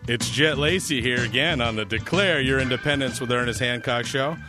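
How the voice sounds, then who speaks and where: A man's voice: medium pitch, brisk, over music. A man filling in as a talk radio host, rambling casually with a sarcastic edge and frequent restarts.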